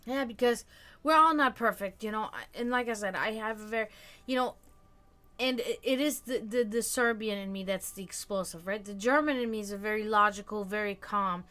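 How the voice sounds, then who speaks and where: A woman in her thirties hosting a casual live stream, talking frankly and reflectively and often letting her sentences trail off quietly. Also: medium pitch, a little fast. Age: 30s. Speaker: stream host.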